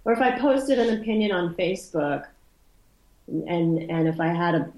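Woman, first language Polish, interview guest, thoughtful and halting, roomy echo. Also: fairly low pitch, lively intonation, warm tone. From Poland. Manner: thoughtful, restarts often, trailing asides. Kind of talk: casual conversational interview.

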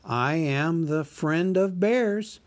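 A man voicing a small, clever male hobbit who speaks politely and flatteringly in riddles. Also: on the high side, extremely slow, no echo, voice pushed up. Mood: riddling.

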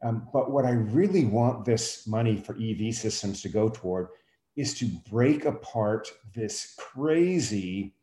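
A man's voice: on the low side, a little slow, mellow. A man giving his opinions in a casual discussion, conversational and emphatic. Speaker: podcast host, teacher. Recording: echoey room.

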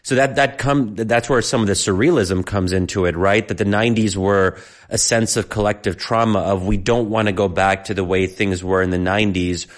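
A man with a fairly low voice hosts a loose podcast conversation. He thinks out loud, circles back and rephrases mid-sentence. He grew up in America with Egyptian parents. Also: fairly even intonation, moderate pace, hardly any pauses. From United States, of Egyptian parents. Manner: thoughtful, rambling, self-correcting. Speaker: podcast host. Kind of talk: casual discussion.

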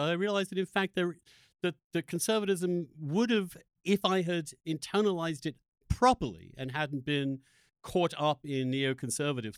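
A man hosting an interview podcast, speaking reflectively and earnestly in halting, emphatic phrases that often break off and restart. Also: fairly high pitch, dry recording. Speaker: podcast host interviewing a guest.

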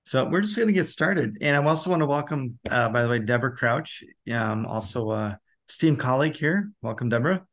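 A man's voice: medium pitch, animated, fairly quick, a bit bright. A man hosting a casual, conversational interview. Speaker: interviewer and host.

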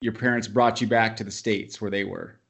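A man hosting a casual podcast interview, asking questions with quick upbeat reactions. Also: on the low side, steady tone, gravelly, a bit bright. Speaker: podcast host.

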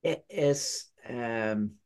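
A man hosting an interview, asking polite questions in a hesitant, halting way. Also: medium pitch, a little mellow. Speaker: interview host.

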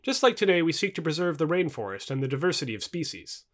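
A man's voice: on the high side, very animated, fast. A man voicing a confident male futurist who patiently explains and corrects in an audio book dialogue. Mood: explaining.

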